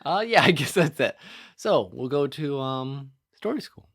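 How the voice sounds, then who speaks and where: Lively-pitched man chatting on a podcast. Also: measured pace, faint music behind. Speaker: podcast co-host. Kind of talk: casual podcast banter.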